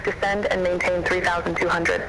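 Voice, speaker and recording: A woman's voice: lowish voice, fairly quick. A woman's synthetic voice giving air traffic control instructions in clipped radio phraseology, heard over a radio transmission. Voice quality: flat, even synthetic delivery. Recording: loud static radio channel with clicks.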